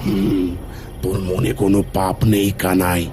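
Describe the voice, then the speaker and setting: A man voicing a solemn, commanding forest demon in an animated fairy tale. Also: low-pitched, heavy background noise. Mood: solemn, knowing. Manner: slow, commanding, all-knowing. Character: solemn male forest demon spirit guarding palm grove.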